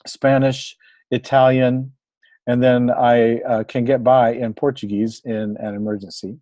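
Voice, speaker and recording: A middle-aged man teaches a language webinar in an easygoing, encouraging way, sprinkling in small fillers. His voice is mellow and warm, at an ordinary pitch. The recording is close and dry, with no room sound. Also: no echo. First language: English. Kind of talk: webinar presentation.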